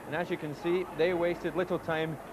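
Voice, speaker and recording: A man presenting a live football broadcast at a stadium, linking segments and naming arriving players in a brisk, informative way. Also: fairly high pitch, little pitch movement. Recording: noisy broadcast with crowd behind.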